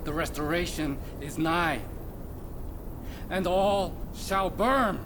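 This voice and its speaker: A man's voice: on the high side, slow. A man acting an ominous zealot of fiery revolution in a game cutscene, amid noisy ambience. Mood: ominous, fervent. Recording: clearly noisy ambient background.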